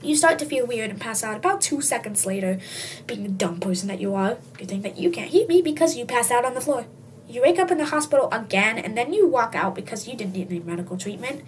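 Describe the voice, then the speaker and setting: A high, youthful voice reading a comic story aloud in a dramatic, tongue-in-cheek way. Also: animated, fast talker. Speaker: storybook reader. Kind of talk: comic read-aloud narration in second person. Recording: distant mic, faint background noise.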